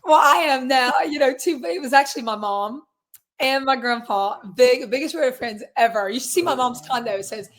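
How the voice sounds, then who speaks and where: A woman in her twenties with a raspy, hoarse voice, chatting casually as a guest musician from a closet at her grandparents' house. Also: high-pitched, fairly quick. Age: 20s. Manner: upbeat, laughs often, many fillers. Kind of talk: casual interview chat.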